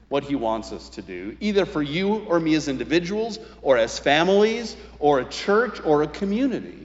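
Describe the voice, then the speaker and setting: An American man in his sixties delivers a sermon in a long, echoing hall. His pitch swings widely from emphatic rises to low phrase endings, and he pauses deliberately. The sound is slightly muffled. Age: 60s. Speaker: pastor preaching a sermon. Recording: muffled, reverberant hall recording.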